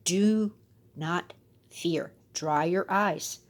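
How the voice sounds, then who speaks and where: A motherly nanny goat from a storybook played by a woman, calm and hushed. She speaks slowly and lower, soothing and reassuring. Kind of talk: children's storybook character voice.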